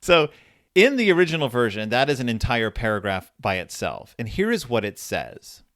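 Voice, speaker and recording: Animated man in casual story discussion. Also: mid-range voice, moderate pace, dry recording, clean studio sound. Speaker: podcast host discussing horror fiction. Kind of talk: casual chat, retelling and reading story passages.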